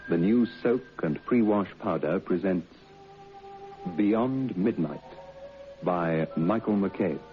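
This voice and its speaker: A man's voice: low voice, expressive, slow pace, long pauses. A man announcing a sponsored programme in the style of old radio. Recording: dull old radio recording, music behind.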